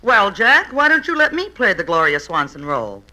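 Deep-voiced American woman, sharp and forceful. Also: very animated, moderate pace, low-quality recording. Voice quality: deep, mannish-low voice. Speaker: guest on a radio comedy show. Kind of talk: old-time radio comedy banter. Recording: vintage radio broadcast.

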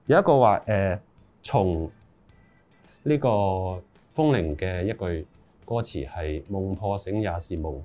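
A man's voice: low-pitched, slow pace, pauses often, dark tone, soft background music. A middle-aged man with a Hong Kong accent chatting casually as an interview guest, in slangy speech sprinkled with English. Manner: informal, slangy, mixes in English words. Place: small room indoors, seated group.

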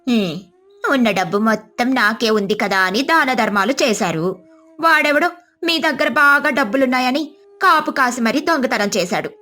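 A woman's voice: on the high side, lively intonation, normal speed, sharp tone. A woman voicing a scornful, blunt village neighbour who scolds dismissively in a moral story. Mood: reproachful. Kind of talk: moral story character voices.

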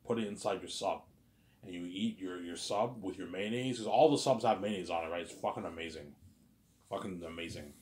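A man's voice: sits low, fairly quick, a little mellow, reverberant. A man in his thirties rambling casually in a monologue to his viewers, repeating himself and stumbling over words. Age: 30s. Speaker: vlogger.

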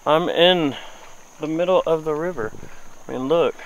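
A man's voice: fairly high pitch, moderate pace, highs cut off. A young man talking casually to camera in folksy, informal phrasing, recorded outdoors in a small boat on a river. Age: young adult. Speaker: outdoor fishing vlogger. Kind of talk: casual vlog talk to camera. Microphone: camera mic at close to mid distance. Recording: faint outdoor ambient noise, insects.

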